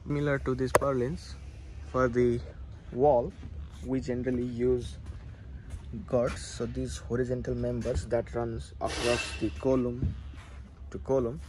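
Clear background noise sits behind a man with a mid-pitched voice, recorded on a phone inside an unfinished steel building. He gives an instructional walkthrough like a tutor, in halting phrases. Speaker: civil engineering tutor. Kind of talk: hands-on explanatory walkthrough. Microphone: handheld phone camera.

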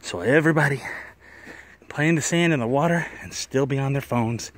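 A man telling stories in a casual, folksy, unhurried vlog style with a wry manner, recorded outdoors on a handheld phone. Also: medium pitch. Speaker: vlogger, cable installer. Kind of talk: casual first-person storytelling vlog. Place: outdoors on a wooded trail.

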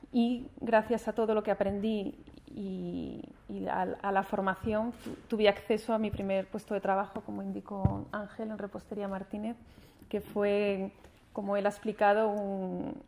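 A woman's voice: mid-range voice, creaky, dark tone. A woman in her forties from Spain giving an earnest speech at a university ceremony, seated at a panel table in a large formal hall and speaking into a table microphone, with frequent restarts and fillers. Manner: gestures with her hands as she speaks. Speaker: honored alumna speaker.

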